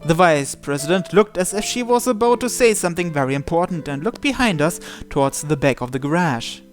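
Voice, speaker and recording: A game narrator translating and reading visual novel text aloud, with some hesitant pauses. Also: mid-range voice, lively intonation. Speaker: video game playthrough narrator. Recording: game music behind the voice. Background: visual novel music and Japanese voice acting.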